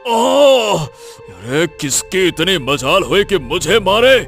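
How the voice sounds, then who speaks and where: A man's voice: high voice, big pitch swings, takes it slowly, creaky, over music, full character voice. A man voicing a proud, menacing lion. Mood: hurt, outraged. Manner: boastful. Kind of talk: animated Hindi moral-story dramatization.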